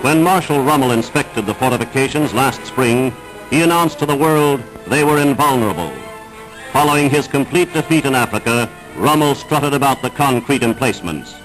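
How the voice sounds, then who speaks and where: Male 1940s newsreel narrator, mid-pitched, emphatic and declamatory, with prominent music behind. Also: fairly bright tone, rough recording. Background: dramatic newsreel music.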